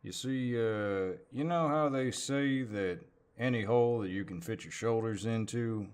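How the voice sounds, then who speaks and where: A man playing a polite, teasing cowboy neighbor in an audio roleplay, with a deep voice and a hesitant Southern US drawl. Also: unhurried, a little mellow, clear, resonant tone, natural voice. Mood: amused, teasing. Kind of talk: audio roleplay, intimate one-sided dialogue.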